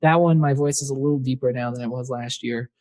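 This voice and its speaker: A man's voice: medium pitch, steady tone, quick pace, darkish tone. A young man, a singer-songwriter guest, chatting casually in a podcast interview and rambling with fillers. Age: young adult.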